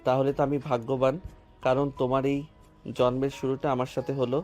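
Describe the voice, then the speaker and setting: A man's voice: medium pitch, fairly quick, faint music behind, lightly acted. A man playing Shayan, a devoted earnest lover. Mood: playful. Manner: earnest, tender, even-toned. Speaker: voice actor. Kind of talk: romantic audio drama.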